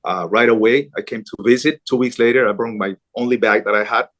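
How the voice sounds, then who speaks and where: A man's voice: medium pitch, fairly even intonation, brisk, fairly bright tone. A middle-aged man from Colombia, a news anchor, talking earnestly in an interview, with frequent restarts and fillers. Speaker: interview guest, Spanish-language news anchor. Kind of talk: conversational interview.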